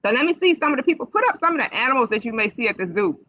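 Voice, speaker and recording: A woman hosting storytime for young children, reading aloud in an animated, sing-song way and putting questions straight to the kids. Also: a little high, racing. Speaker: library storytime host for children. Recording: dull, lo-fi livestream sound.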